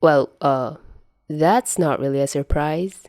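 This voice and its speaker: Woman playing a teasing, protective fatherly man, bright-voiced. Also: sits low, takes it slowly, no room sound, clean studio sound. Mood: teasing, exasperated. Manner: teasing, soothing.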